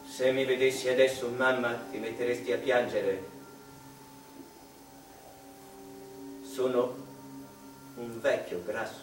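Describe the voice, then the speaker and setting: An actor playing a melancholy male mathematician confiding in his mother, in a wistful, halting, theatrical monologue. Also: mid-range voice, flat delivery, natural voice. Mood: bitter, self-mocking. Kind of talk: dramatic stage monologue. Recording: echoey room, distant mic, music behind.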